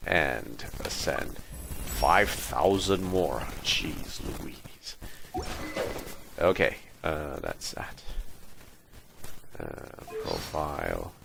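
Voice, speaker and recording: Casting: male streamer, low gravelly voice, muttered gameplay commentary, noisy mic. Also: big pitch swings, very slow, pauses often. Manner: muttering to himself in short fragments. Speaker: game streamer. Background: background noise.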